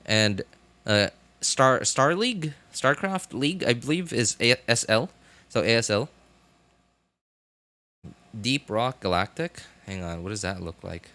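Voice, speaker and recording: A man in his thirties chatting casually on a livestream, rambling and haltingly thinking aloud. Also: medium pitch, lively intonation, bright, forceful tone, no echo.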